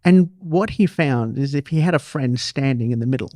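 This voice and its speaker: A man's voice: mid-range voice, normal speed, warm tone, no echo, studio quality. A man hosting an interview show, chatting casually and telling anecdotes as he talks with his guest. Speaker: podcast host interviewing a guest.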